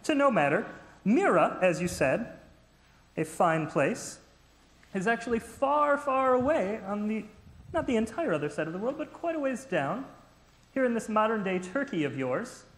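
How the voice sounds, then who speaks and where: A man's voice: sits high, very animated, normal speed. A man playing a fussy ancient male geographer in a church skit, lecturing theatrically. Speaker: skit actor. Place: church sanctuary. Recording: echoey church hall.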